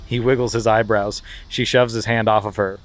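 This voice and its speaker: A man hosting a podcast, narrating script stage directions in a dry, steady voice. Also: on the low side, moderate pace, a little hiss or hum, low-quality recording.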